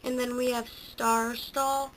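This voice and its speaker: A woman's voice: fairly high pitch, little pitch movement, takes it slowly, a bit bright, slight background noise, poor audio. A teenage girl giving a casual show-and-tell tour of her model horse stable, hesitant, with lots of ums and self-corrections. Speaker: toy horse barn video maker. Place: indoors at a homemade model stable. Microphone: handheld camera close to the toys.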